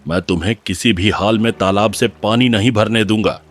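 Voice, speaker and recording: A man acts out a hostile village strongman in a cartoon moral story. He is harsh, accusing and commanding, in a fairly low voice. Faint music plays underneath. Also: a little fast, close to an everyday voice. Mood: angry, accusing. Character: hostile, scornful village man and cruel village chief.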